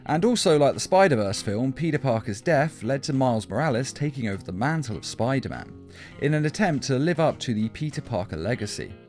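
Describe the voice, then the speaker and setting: A man's voice: medium pitch, big pitch swings, fairly quick, darkish tone. A man reading a scripted countdown as an emphatic, opinionated fan commentator. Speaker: video list narrator. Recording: music behind the voice. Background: guitar backing music.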